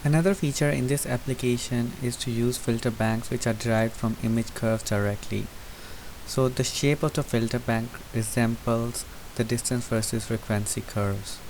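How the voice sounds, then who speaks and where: A man giving an unscripted, methodical software tutorial, explaining each step as he goes. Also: mid-range voice, poor audio. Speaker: presenter demonstrating software. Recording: hissy recording with steady background noise. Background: hiss.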